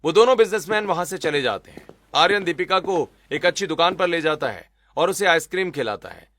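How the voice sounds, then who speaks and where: High-voiced man narrating a cartoon story. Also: animated, brisk, a bit bright, dry recording.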